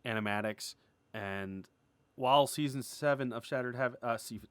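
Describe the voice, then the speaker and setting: A man giving a casual, unscripted creator update, rambling and excitable, starting and stopping his phrases as he goes. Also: medium pitch, normal speed, clean tone, no room sound. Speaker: animator and web series creator giving an update.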